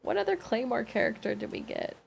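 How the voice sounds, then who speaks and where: A woman livestreaming a game with casual, rambling commentary, thinking aloud in a halting way and questioning herself. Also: on the high side, very animated, creaky, slight background noise.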